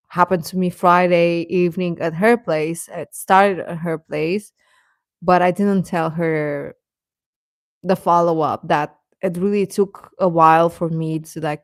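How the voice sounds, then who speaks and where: A woman hosting a livestream, chatting casually and intimately with viewers in a halting, confiding way. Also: fairly low pitch, no room sound, studio quality.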